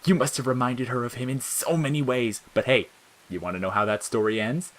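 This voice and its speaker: A man's voice: mid-range voice, brisk, voice pushed up, natural voice, rough recording. A man voicing a cruel, mocking, childish talking flower in an audio fiction, jeering and wheedling by turns. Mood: gleefully cruel. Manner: jeering, cackling, swinging from sneers to wheedling. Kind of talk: dramatic audiobook character acting.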